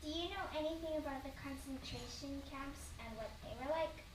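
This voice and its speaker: A woman's voice: high-pitched, a little fast, echoey room, far from the mic, a little hiss or hum, poor audio. A young interviewer asking her grandparents prepared questions politely at home by the fire. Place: home, beside a fireplace.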